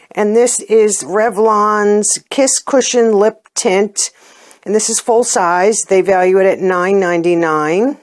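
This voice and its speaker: A middle-aged woman with a hoarse voice from a cold, chatting casually as she reviews beauty products and often pauses with ums. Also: lowish voice. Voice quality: hoarse, clears her throat. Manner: chatty, plainspoken, hesitant with ums. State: getting over a cold, losing her voice. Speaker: unboxing vlogger.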